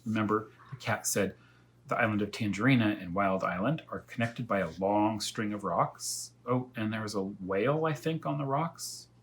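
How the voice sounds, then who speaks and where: A man's voice: lowish voice, a bit bright. A man who is a first-grade teacher, reading a storybook aloud and musing in simple, casual asides for young children.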